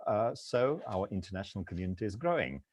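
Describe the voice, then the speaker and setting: A man's voice: fairly low pitch, lively intonation, quick pace. A man moderating an online panel discussion over a video call, reading out lists of places with frequent "uh" fillers.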